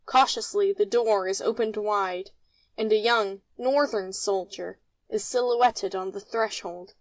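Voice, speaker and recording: A woman's voice: medium pitch, no room sound. A woman narrating, reading stage directions from a script.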